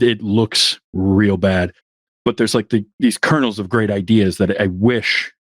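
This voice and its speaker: A man's voice: on the low side, no echo, clean studio sound. A middle-aged man talking as a podcast guest, reflective and rambling, often restarting his sentences. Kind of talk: casual conversation.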